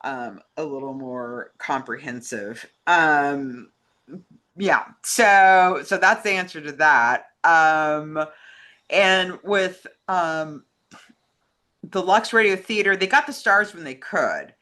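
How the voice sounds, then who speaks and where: Female co-host, bright forward voice, informal and halting, casually chatting about film. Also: low-pitched.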